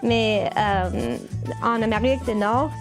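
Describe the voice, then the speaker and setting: Woman talking french, slow and halting, lots of ums, kinda high voice, faint music behind. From United States (Baltimore, Maryland). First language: English. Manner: hesitant, many filler sounds and restarts. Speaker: puppeteer. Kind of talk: interview answers. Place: her puppet workshop.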